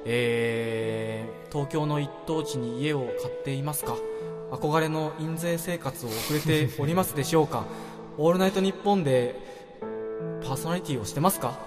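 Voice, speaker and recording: A man's voice: on the high side, normal speed. Young man, reverberant radio co-host. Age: 20s. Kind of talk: casual radio chat. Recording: reverberant voice with background music.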